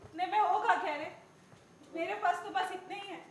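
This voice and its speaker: Older widowed mother, family drama; gentle, coaxing, high-pitched, echoey room. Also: normal speed. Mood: resigned, gentle. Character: gentle, anxious widowed mother of grown sons. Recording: echoey room, faint ambient noise.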